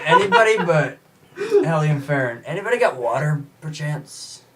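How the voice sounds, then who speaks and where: A man playing a tabletop game, joking casually in a playful, mock-dramatic way. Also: on the high side. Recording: noisy room, distant mic.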